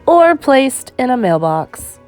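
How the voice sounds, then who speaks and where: A woman reading an educational children's picture book aloud in a measured, clearly phrased storytime delivery. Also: sits high, big pitch swings, darkish tone, faint music behind.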